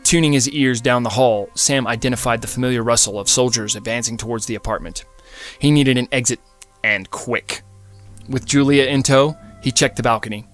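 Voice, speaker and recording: A man's voice: mid-range voice, steady tone, moderate pace, fairly bright tone. A man giving a dramatic scripted narration of a fiction story as an audiobook narrator. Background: music.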